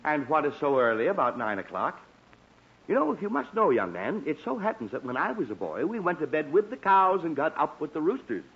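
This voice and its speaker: Flustered radio dad, wildly swooping pitch. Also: male voice, very animated, fairly quick, breathy edge, exaggerated voice. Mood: insistent. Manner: flustered, stammering, sputtering. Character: exasperated, fussy middle-aged father of a teenager. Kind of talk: 1940s radio comedy acting. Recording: muffled, hissy vintage 1940s radio recording.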